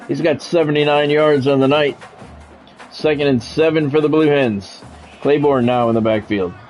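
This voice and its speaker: A man doing measured, broadcast-style football play-by-play over a video game, in clipped phrases with casual gamer asides. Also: fairly high pitch, a little mellow, faint music behind.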